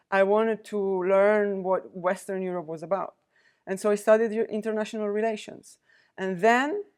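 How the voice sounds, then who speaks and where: A woman delivers a prepared lecture to a university audience. She speaks at a middling pitch, in a measured, deliberate way, and pauses between the items she lists. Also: a little mellow. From Poland. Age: 40s. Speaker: guest lecturer, diplomat.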